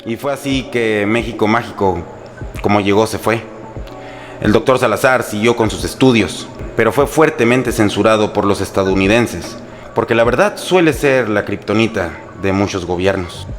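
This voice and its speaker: Low-voiced sarcastic Mexican man narrating over music. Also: moderate pace. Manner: sarcastic, irreverent, colloquial. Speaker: host and narrator. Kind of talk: humorous scripted history narration. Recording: music bed under the voice. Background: background music.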